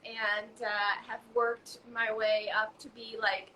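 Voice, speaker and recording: A woman from Hungary chatting as a guest, talking in a halting way with lots of fillers and restarts. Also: a little high, little pitch movement, pushed and bright, a little hiss or hum, rough recording. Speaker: guest, raw food author. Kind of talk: casual conversation.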